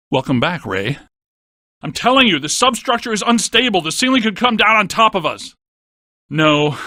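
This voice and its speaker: A man playing a brainy, deadpan scientist ghost hunter in a video game, with precise technical wording. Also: fairly high pitch, dry recording, clean studio sound. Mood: urgent, alarmed. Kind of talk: scripted video game voice acting.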